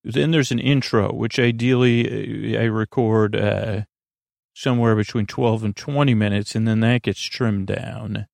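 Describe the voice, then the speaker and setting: A male podcast host with a creaky, gravelly voice, recorded close and dry. He talks casually in a halting, wandering way, drifting into tangents as he eases listeners toward bedtime. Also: on the low side, steady tone, a little mellow, no echo. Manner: meandering, halting, trails off into tangents. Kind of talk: rambling bedtime podcast intro, casual monologue.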